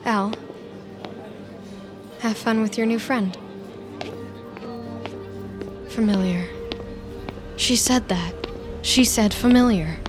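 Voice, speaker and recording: A woman's voice: a little high, slow pace, pauses often. A jealous, scheming young woman. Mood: anxious, jealous. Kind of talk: audio drama acting with muttered monologue. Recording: music bed behind the voice.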